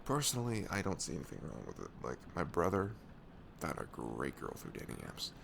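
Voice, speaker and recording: A young man acting out a protective, tender best friend in an ASMR roleplay, with a low voice and some fry at the edges. He speaks haltingly and earnestly, close and soothing, with pitch that moves around a lot. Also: big pitch swings, noisy background, full character voice. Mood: gentle, earnest. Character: caring, protective young male best friend comforting someone. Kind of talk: ASMR audio roleplay, intimate casual chat.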